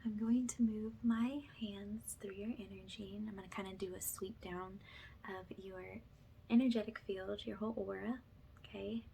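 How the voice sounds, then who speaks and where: A woman speaking softly and breathily, often slipping into a whisper, gently reassuring in the style of a guided ASMR energy-healing session. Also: medium pitch, fairly even intonation, creaky, slight background noise, rough recording. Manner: gentle, slow, reassuring, affirming.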